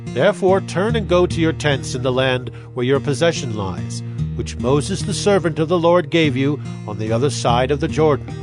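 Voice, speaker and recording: An actor playing a commanding Israelite leader, earnest and declamatory, in a dramatized scripture reading. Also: male voice, fairly high pitch, lively intonation, normal speed, music in the background, natural voice. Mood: solemn exhortation.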